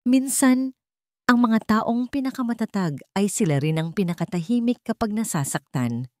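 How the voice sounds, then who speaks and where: A woman voicing a concerned elderly aunt in a story, gentle, grave and full of advice. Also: fairly high pitch, animated, normal speed, dry recording, clean studio sound, voice pushed up, close to an everyday voice.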